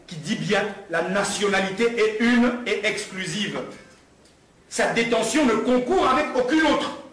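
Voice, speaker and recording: A man's voice: high voice, a bit bright. A man with a Congolese accent giving an emphatic, rhetorical political speech in a didactic way. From Democratic Republic of the Congo. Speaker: political speaker, activist. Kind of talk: impassioned political speech to a gathering. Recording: distant, echoey room with background noise.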